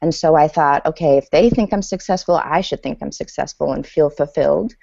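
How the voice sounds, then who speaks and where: A woman in her forties from Iran, a native Farsi speaker, telling her life story as a guest in a podcast interview in a measured, reflective way with frequent ums. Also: sits low, vocal fry, warm tone, no echo. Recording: dull lo-fi sound.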